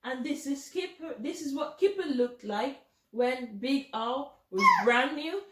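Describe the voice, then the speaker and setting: Woman storytime host, high voice, unhurried picture-book delivery for young children, echoey room. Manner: expressive, addressing young listeners directly. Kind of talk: children's storybook read-aloud. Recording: roomy, echoing home recording.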